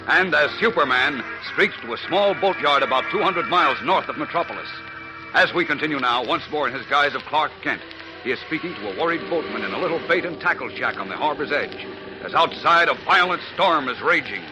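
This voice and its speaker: A man's voice: fairly high pitch, expressive, brisk, rough recording. A man narrating a 1940s radio adventure serial as announcer, in an emphatic, formal, suspenseful style. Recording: old radio broadcast with music.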